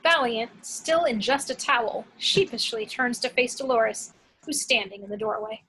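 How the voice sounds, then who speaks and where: A woman's voice: on the high side, moderate pace, fairly bright tone, low-quality recording. A woman reading action descriptions from a film script as scripted narration. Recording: clear background noise, home recording.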